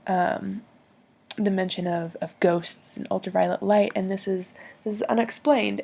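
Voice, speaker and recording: A woman with a hoarse voice from bronchitis, explaining logical fallacies in a hesitant way with many pauses and restarts. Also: medium pitch, mellow. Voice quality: husky, gravelly.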